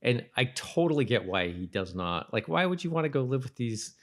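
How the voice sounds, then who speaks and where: A man co-hosting a podcast, chatting informally about a TV show, haltingly and repeating himself for emphasis. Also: mid-range voice, very animated, fairly bright tone. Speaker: podcast co-host. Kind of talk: casual TV-recap chat.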